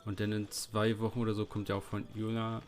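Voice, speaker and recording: A man's voice: on the low side, monotone, fast. A man, a native German speaker, giving casual Let's Play commentary as host. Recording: clearly noisy, game ambience behind.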